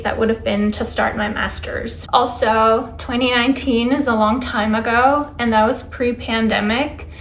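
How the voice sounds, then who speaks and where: A woman's voice: fairly high pitch, a little hiss or hum. Chatty young woman in an echoey room. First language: not English. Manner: chatty, reflective, with little laughs. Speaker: vlogger. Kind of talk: casual talking-to-camera Q&A.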